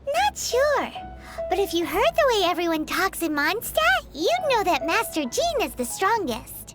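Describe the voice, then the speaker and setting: A woman's voice: very high-pitched, wildly swooping pitch, a little slow, over loud music, full character voice. A woman voicing a tiny floating female fairy companion who chats cheekily and talks about herself in the third person. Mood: cheeky. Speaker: sidekick companion. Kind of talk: video game character banter.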